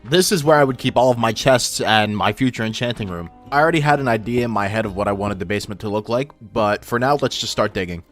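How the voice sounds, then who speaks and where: A man's voice: fairly low pitch, fast talker, hardly any pauses. A young man with a filtered voice hosting a gaming video, narrating his play in an informal, self-deprecating way. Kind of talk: casual scripted gameplay narration. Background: background music.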